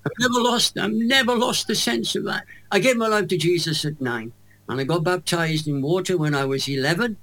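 A man's voice: sits high, fairly quick, rough recording. An older Welsh man, an evangelist guest on a radio interview, telling stories emphatically with humour. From Wales. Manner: emphatic, animated storyteller with humour.

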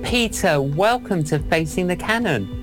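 A man hosting a faith radio interview, asking questions in a measured, encouraging way. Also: sits high, expressive, over music. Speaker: radio host.

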